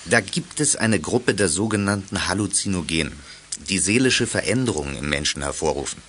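A deep, bright-voiced stern father. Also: animated, a little hiss or hum. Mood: earnest. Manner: admonishing, measured, explanatory. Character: stern, caring middle-aged father of young sleuths. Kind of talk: German radio play acting.

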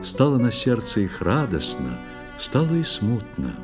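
A man narrating a poetic tale theatrically, in a measured, expressive storytelling manner. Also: lowish voice. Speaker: narrator of a children's musical audio play. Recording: long studio reverb, music underneath. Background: music.

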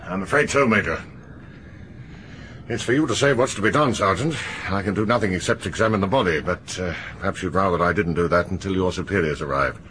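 A low-voiced, clipped doctor. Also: male voice, fairly bright tone, slight background noise, rough recording. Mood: clinical. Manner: matter-of-fact, clipped, measured. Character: calm, dry country doctor. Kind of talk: 1980s BBC radio drama acting.

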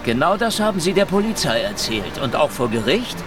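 A man's voice: fairly high pitch, very animated, brisk, distant mic, background noise. An actor playing a sardonic, brazen gunman on the run in a German radio drama, wry and commanding. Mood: pressing.

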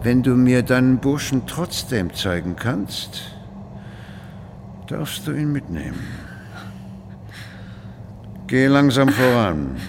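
Slow, menacing master sorcerer. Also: male voice, mid-range voice, big pitch swings, gravelly, background noise, exaggerated voice. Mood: commanding, threatening. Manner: slow, deliberate, threatening. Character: menacing, commanding male master sorcerer of a mill. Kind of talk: radio drama acting.

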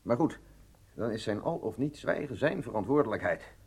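A man's voice: medium pitch, expressive, moderate pace, vocal fry, darkish tone, slight background noise. A male actor playing a cautious, matter-of-fact intelligence aide in a radio drama. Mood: concerned.

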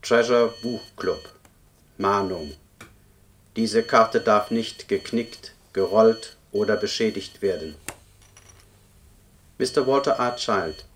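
An actor voicing a book club's computer in a radio play, reciting formal demand notices in an impersonal, stiff way. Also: male voice, fairly low pitch, slow pace, pauses often. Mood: impersonal, official. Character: male book club computer reading out form demands. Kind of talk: scripted radio play, formulaic official notices. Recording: noisy, with background ambience.

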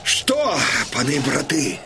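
A declamatory old Cossack chieftain, slow and weighty. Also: male voice, a little high, huge pitch swings, full character voice. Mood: rousing, commanding. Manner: declamatory, rousing. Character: commanding Cossack chieftain, older man, fiery and solemn. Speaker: actor in an audio drama. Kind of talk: theatrical battle oratory in Russian audio drama. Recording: loud music behind the voice.

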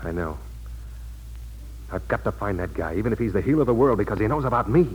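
A man's voice: lowish voice, expressive, fast talker, a little mellow, a little hiss or hum, close to an everyday voice, low-quality recording. A man playing a guarded, weary, self-doubting war veteran in a 1940s radio drama, with terse, downbeat speech. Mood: troubled, confessional.

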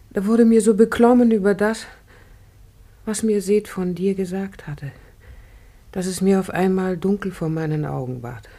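An actress playing a tender, distressed, devoted daughter, pleading in a declamatory classic German radio play. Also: female voice, lowish voice, mellow.

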